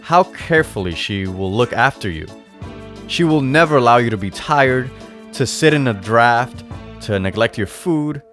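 A man's voice: mid-range voice, lively intonation, moderate pace, fairly bright tone, soft background music, pitched above the performer's own voice, lightly acted. A man voicing a sly old shopkeeper in a storybook tale, patient, persuasive and emphatic, savoring his words. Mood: coaxing. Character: sly old male shopkeeper selling potions, patient and coaxing. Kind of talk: storybook character voice in a read-aloud tale.

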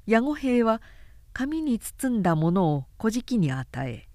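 A woman narrating a literary period story as an audiobook, in a measured, dramatic storytelling manner. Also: fairly high pitch, big pitch swings, darkish tone, no echo, studio quality. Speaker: narrator, announcer.

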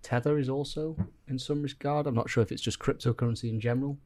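A man's voice: medium pitch, fairly even intonation, fast talker, a little mellow. A man co-hosting a podcast, talking in a rambling, hesitant way with lots of ums. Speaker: podcast co-host. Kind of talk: casual group chat.

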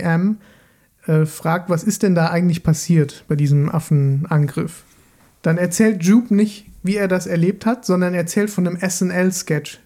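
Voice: medium pitch, dark tone. A podcast co-host in a casual film discussion, explaining eagerly and often repeating words while working out a thought.

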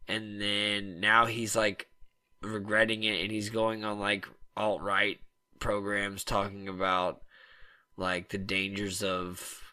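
A man's voice: lowish voice, completely flat delivery, slow, pushed and bright, clear, resonant tone, dry recording. A man co-hosting a comedy podcast, trading crude, joking banter and riffing on absurd ideas. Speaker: podcast co-host.

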